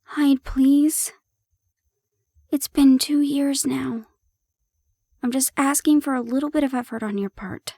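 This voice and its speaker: A woman playing a harried but affectionate young girlfriend in an audio roleplay, expressive, teasing and coaxing. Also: sits high, slow pace, long pauses, no echo, studio quality. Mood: soft, pleading.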